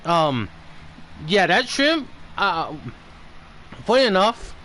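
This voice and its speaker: A man from New York livestreaming a game, chatting casually in a halting, rambling way. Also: high voice, sing-song, bright, forceful tone, a little hiss or hum. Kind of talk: casual stream chat, reading game dialogue aloud.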